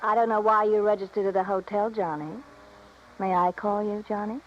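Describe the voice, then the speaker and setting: A woman's voice: mid-range voice, steady tone, moderate pace, a little hiss or hum, lightly acted. Woman acting a coy radio femme fatale, dull recording. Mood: coy, lonely. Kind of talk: 1950s radio drama acting.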